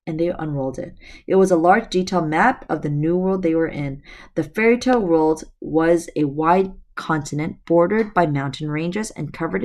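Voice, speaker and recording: Woman, middle-aged, teacher, slightly hoarse, lowish voice, chatty read-aloud, laptop mic at home. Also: a little mellow. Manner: chatty, addresses students directly. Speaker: teacher reading aloud to students. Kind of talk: reading a novel aloud with asides. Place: room at home. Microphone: laptop webcam.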